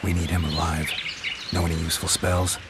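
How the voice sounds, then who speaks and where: An actor playing a cynical, world-weary warrior who hunts monsters in a video game, speaking in a dry, blunt, restrained way. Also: male voice, low voice, flat delivery, fairly quick, loud music behind the voice, natural voice. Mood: terse.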